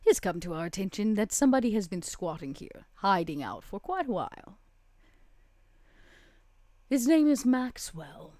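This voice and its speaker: A woman playing a poised, scheming female vampire power broker in a tabletop game, with theatrical, deliberate, flowery delivery. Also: medium pitch, very animated, a little mellow, dry recording, natural voice. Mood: ominous, conspiratorial. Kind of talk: tabletop roleplay in character.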